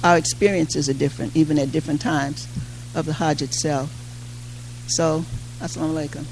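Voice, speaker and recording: An older woman with a gravelly creak in her voice moderates a panel in an informal way. She speaks conversationally, pausing and repeating phrases. A faint hum sits behind her. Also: sits low, fairly even intonation, a little mellow, poor audio. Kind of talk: informal public address. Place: community hall at a mosque. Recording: faint background noise and hum.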